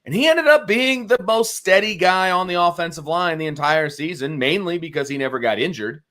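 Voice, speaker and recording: A man's voice: a little high, expressive, brisk, bright, forceful tone. A man casually talking football as a sports show co-host, opinionated and conversational, repeating phrases for emphasis.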